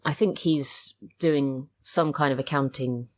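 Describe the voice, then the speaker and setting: A woman's voice: extremely low pitch, a little slow, slight background noise. A woman chatting casually as a podcast guest, thoughtful and a little meandering as she talks. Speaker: podcast guest, writer and voice actor. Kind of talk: casual chat.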